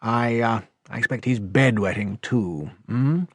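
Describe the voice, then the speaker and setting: A man's voice: medium pitch, a little slow, no echo, studio quality, close to an everyday voice. A man voicing a plump, genial village doctor in an audiobook, English, with clipped questions and hesitant little ums. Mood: brisk, reassuring.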